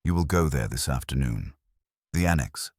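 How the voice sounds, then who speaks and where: Cerebral male detective, acted by a man: extremely low, husky, terse, formal, used to command. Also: expressive, normal speed, no room sound, clean studio sound. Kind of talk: audiobook character voice.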